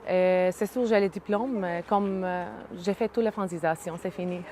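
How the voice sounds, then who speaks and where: A woman's voice: lowish voice, mellow, slight background noise. Hesitant Syrian woman in her thirties. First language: Arabic. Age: 30s. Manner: hesitant, many pauses and "euh" fillers. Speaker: interviewee. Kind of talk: casual interview.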